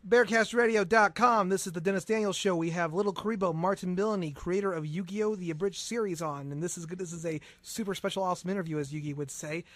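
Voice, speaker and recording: High-voiced young man hosting radio, dry sound. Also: fast, few pauses, a bit bright, no room sound. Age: young adult. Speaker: radio show host, interviewer. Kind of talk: chatty college radio interviewing.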